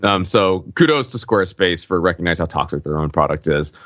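Low-voiced man, dull dry recording. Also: expressive, brisk, fairly bright tone, no echo. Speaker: podcast co-host. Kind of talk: casual panel chat.

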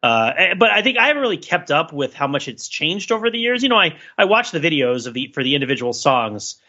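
Male podcaster, sharp bright tone, digressive casual chat, somewhat muffled audio. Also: fairly high pitch, very animated, fast talker. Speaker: podcast co-host.

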